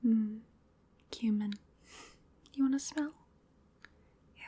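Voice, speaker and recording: A woman's voice: on the high side, mellow, slightly rough. A woman playing a playful, polite, hungry vampire in a whispered ASMR roleplay, coaxing and musing as she dotes on the listener. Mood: musing, indulgent. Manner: slow, coaxing, musing, with pet names.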